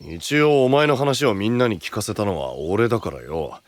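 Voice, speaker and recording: A man playing a gruff, dutiful young knight in an anime-style voice drama, rough-spoken and grumbling. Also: lowish voice, animated, moderate pace, no room sound, natural voice.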